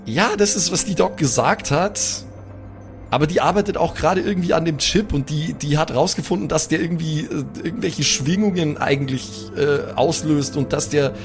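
A man with a fairly high voice improvises as a player in a tabletop game. His speech is slangy and colloquial, with halting, exasperated phrasing. Music is clearly audible behind him. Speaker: tabletop role-play player. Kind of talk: improvised in-character role-play mixed with casual table talk. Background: background music.

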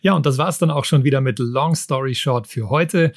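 A man's voice: mid-range voice, moderate pace, no echo, clean studio sound. A man co-hosting a book podcast in a conversational reviewing style.

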